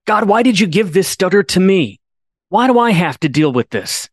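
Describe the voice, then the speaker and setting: A man's voice: high voice, very animated, moderate pace, dry recording, studio quality. A man hosting a podcast, telling a story in an earnest way, restarting on repeated words and rising to emphatic pleas. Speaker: podcast host. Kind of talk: storytelling monologue.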